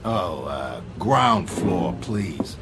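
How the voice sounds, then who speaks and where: Man acting a wry, animated character under loud music. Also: low voice, drawn-out words, exaggerated voice. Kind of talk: scripted dramatic dialogue. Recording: loud music over the dialogue.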